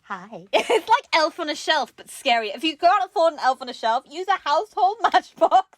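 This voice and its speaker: A woman's voice: sits high, very animated, moderate pace, no room sound. A woman hosting a casual comedic craft chat, giggly and playfully mocking as she talks. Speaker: craft show host.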